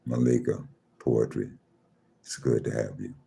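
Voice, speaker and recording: A man with a very deep voice hosting a live chat room, calmly greeting listeners one by one and talking in a style. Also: creaky. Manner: slow, calm, repeats himself, reads names off a screen.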